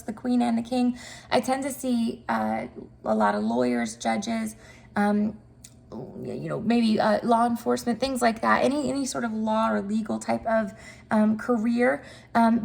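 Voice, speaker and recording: A woman's voice: fairly high pitch, vocal fry, a little mellow. A woman giving a casual, upbeat tarot reading, her voice cracky and nasal from a mild cold, chatting with many fillers. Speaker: tarot reader.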